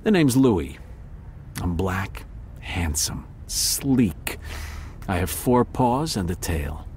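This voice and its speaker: A sleek feline gumshoe narrates a noir radio play in a low voice. The delivery is wry, hard-boiled and deadpan, yet very animated in pitch. Some background noise sits under it. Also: male voice, slow pace, full character voice. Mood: wry, self-pitying. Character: sleek, wry, world-weary male cat private detective. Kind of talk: noir detective audio drama narration.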